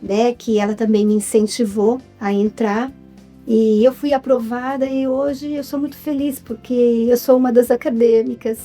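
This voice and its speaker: A woman's voice: fairly high pitch, fairly even intonation, moderate pace, dark tone, soft background music. A Brazilian-accented woman, a guest in a casual interview, telling her story in an upbeat way. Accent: Brazilian Portuguese. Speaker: guest, writer and film producer.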